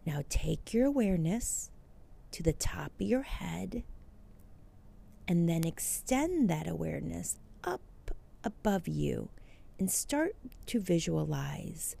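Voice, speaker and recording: Very slow, low-pitched woman guiding meditation. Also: mellow. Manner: slow, soothing, with breathy near-whispered phrase endings. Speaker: podcast host and meditation guide. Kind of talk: guided meditation.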